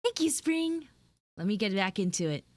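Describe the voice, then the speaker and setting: A woman's voice: mid-range voice, big pitch swings, moderate pace, darkish tone, dry recording. A woman livestreamer talking casually and expressively to her viewers in informal, exclamatory storytelling. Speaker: VTuber livestream host. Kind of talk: live roleplay chat with viewers, casual storytelling.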